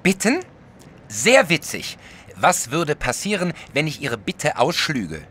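A touchy jealous artist in an audio drama, defensive, highish voice, really animated. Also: male voice, slow, slight background noise, heavily acted. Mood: sarcastic. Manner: vehement, defensive, embittered. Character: indignant, self-righteous male artist, small and jealous. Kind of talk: German audio drama acting.

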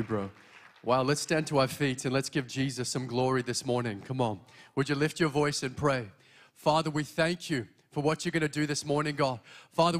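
A young man from Australia with an Australian accent, preaching an energetic sermon as a guest speaker from a church stage into a handheld mic. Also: on the high side. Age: young adult. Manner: energetic, exhorting, walks the stage.

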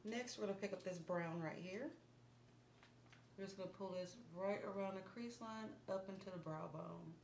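A woman in her thirties hosting a step-by-step makeup tutorial in a small indoor room. She talks in a calm, instructive way and sounds a little hoarse, as if losing her voice. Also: medium pitch, moderate pace. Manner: calm, instructive, softens to near murmur while working. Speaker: beauty vlogger. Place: small indoor room against a plain wall. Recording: echoey room with background hiss.

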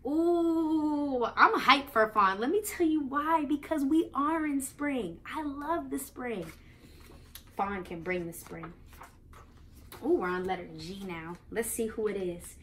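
A young adult woman with an American accent, reading aloud and explaining to young children in an expressive, playful, sing-song manner. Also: high voice, takes it slowly, slight background noise. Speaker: children's read-aloud host and teacher. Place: small living room, indoors on a couch.

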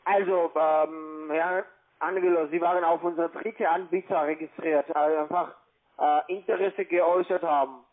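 A man with a high voice and a foreign accent works a cold call. He is pushy with his instructions, speaking in halting, broken phrases as a non-native speaker. The line is muffled and lo-fi. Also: fairly even intonation. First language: not German. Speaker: cold-calling call-centre agent.

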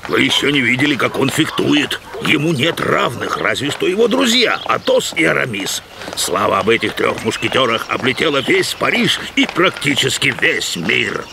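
A man's voice: medium pitch, sing-song, normal speed, creaky, background noise. A man voicing a talkative, admiring dog townsman in a dubbed cartoon, explaining things as he goes.

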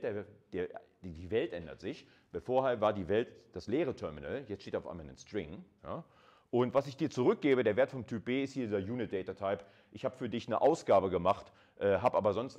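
Male university lecturer, lowish voice with lively swings, informal and direct with listeners. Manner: conversational, addresses listeners directly, checks in with short tags.

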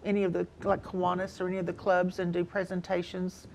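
A woman's voice: on the low side, monotone, fast, gravelly, a little mellow, slight background noise, poor audio. A woman in her sixties explaining things as a counselor and guest in a studio interview. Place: TV studio, seated indoors.

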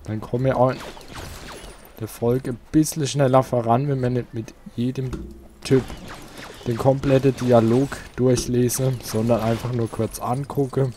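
A man's voice: medium pitch, level tone, unhurried, dark tone, a little hiss or hum. A man hosting a casual let's play, chatting to his viewers. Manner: chatty, talks to viewers, asks for likes. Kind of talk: casual gameplay commentary.

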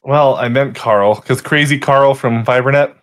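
A man's voice: medium pitch, moderate pace, a bit bright. A man running a tabletop game as game master, casually recapping the last session with frequent self-corrections, over a voice call.

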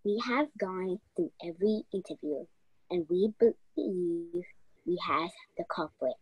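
A self-important female detective from a radio mystery, acted by a young woman. She speaks slowly and with authority, in a husky voice, pausing for drama. The recording is close and dry. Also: on the low side, no room sound, muffled recording. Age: young adult. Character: bossy, self-important senior detective, impatient with rookie. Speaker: actor in a youth theatre radio play. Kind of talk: radio play acting.

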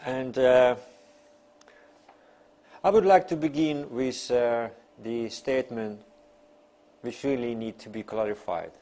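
Mellow-voiced male lecturer, non-native English speaker. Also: mid-range voice, slow pace, pauses often. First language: not English. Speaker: university lecturer. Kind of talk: academic lecture, partly read from notes. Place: small classroom, seated at a desk.

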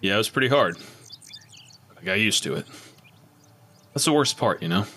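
A man's voice: lowish voice, lively intonation, moderate pace, pushed and bright, husky, full character voice. A man playing a world-weary, skeptical murder investigator in an audio drama, terse and matter-of-fact. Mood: weary, probing. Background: music.

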